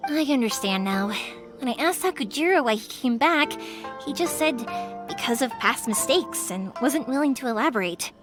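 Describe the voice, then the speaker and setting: An actress playing a cheerful young fireworks maker in a game, friendly and eager. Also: female voice, sits high, animated, normal speed, over music.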